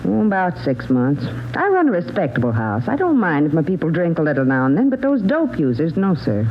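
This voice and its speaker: A prim landlady in an old radio play, indignant and curt. Her voice is lively, dropping into flat refusals and jumping back up. It comes through a dull, faintly hissy vintage recording. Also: low voice, fairly quick, exaggerated voice. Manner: curt, defensive. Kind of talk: 1940s radio drama acting. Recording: muffled 1940s radio recording, faint hiss.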